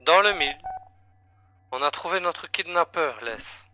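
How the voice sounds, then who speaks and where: A man's voice: fairly high pitch, lively intonation, a little slow, sharp tone, a little hiss or hum, full character voice. A man playing a grave, urgent agency chief in a science-fiction audio drama, serious and pressing in manner. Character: grave, urgent male agency chief briefing his agent.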